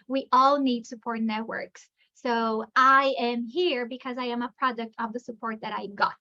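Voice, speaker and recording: A woman's voice: high-pitched, sharp tone. A woman from Brazil, a Portuguese native speaker in her thirties, giving an enthusiastic, conversational academic plenary talk with frequent restarts. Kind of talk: academic lecture. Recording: dull lo-fi lecture recording.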